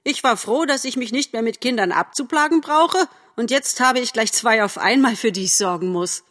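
Woman as a brisk, grumbling motherly doe, raised pitch. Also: expressive, slightly rough, no echo, studio quality. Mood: cheerful grumbling. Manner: cheery, no-nonsense, mock-complaining. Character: motherly older female deer, brisk, humorously grumbling. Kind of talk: audiobook character voice.

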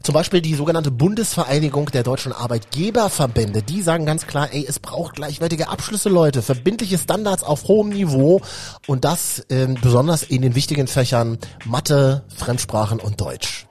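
A man's voice: on the high side, lively intonation, normal speed, a little mellow, slightly rough. A man from Berlin hosting a casual youth talk show, speaking colloquially and addressing viewers directly. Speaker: host.

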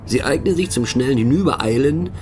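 An actor playing a pensive, mocking narrator in a radio play, musing rhetorically on abstract words and addressing the listener in wry asides. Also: male voice, medium pitch, animated, brisk, a little mellow, faint music behind, lightly acted.

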